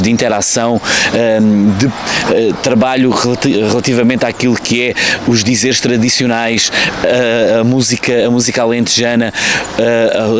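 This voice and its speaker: A man's voice: mid-range voice, slight background noise. A middle-aged man with a European Portuguese accent, a local official interviewed outdoors by wooden stalls with a handheld mic, speaking with frequent fillers. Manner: fluent but filler-heavy. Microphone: handheld reporter mic.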